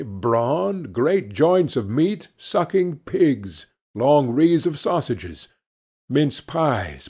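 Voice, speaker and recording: A man narrating a classic novel as an audiobook in a measured, expressive storytelling manner. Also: medium pitch, slightly rough. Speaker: audiobook reader.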